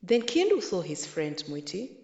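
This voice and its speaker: A woman reading a children's storybook aloud in an expressive, deliberate way. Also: fairly low pitch, vocal fry, dull audio. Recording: echoey, roomy sound.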